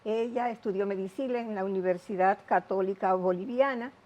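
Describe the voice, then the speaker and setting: A woman hosting a TV health interview show, speaking in a measured, explanatory way with many asides. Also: mid-range voice, a little mellow, lo-fi sound.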